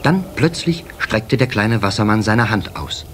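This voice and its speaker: A man narrating a children's radio play in a measured storyteller's delivery. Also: lowish voice, animated. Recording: slightly hissy old cassette recording.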